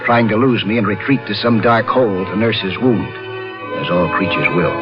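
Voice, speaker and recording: A brooding male diver played by an actor tells his story in measured, wistful radio drama style. His voice sits on the low side. The recording is dull and lo-fi, with prominent music behind him. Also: lightly acted. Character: brooding, obsessed male skin diver, narrating confessionally. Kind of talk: dramatic first-person radio drama narration.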